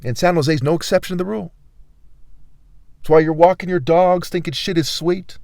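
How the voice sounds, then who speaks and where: A man vents in a rambling monologue, his fairly high voice dropping to a quiet mutter and then rising into loud outbursts full of swearing. The sound is dry and close, with no room around it. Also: normal speed, gravelly, dry recording. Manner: ranting, swearing, swings between low murmur and loud outbursts. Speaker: vlogger.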